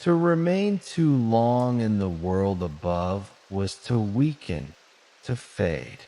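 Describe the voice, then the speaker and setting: A man reads a bedtime tale at an extremely slow pace, gently and soothingly. His fairly low voice rises and falls with measured storybook drama, carried in a long reverb. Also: sing-song.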